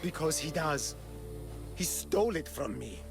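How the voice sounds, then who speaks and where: Brief: broken old caretaker, slow, halting and mournful, slightly high voice, game music behind. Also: male voice, expressive, gravelly. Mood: grieving. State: shaken after torture. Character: broken old male caretaker, guilt-ridden and fearful. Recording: orchestral game score underneath.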